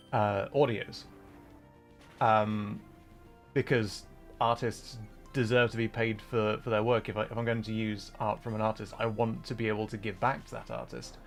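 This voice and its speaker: A man with a fairly low voice talks casually, as a livestreamer does while playing a game. He thinks aloud in a halting, rambling way, moving between chat and game commentary. Music plays in the background. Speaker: livestreamer, VTuber and content creator.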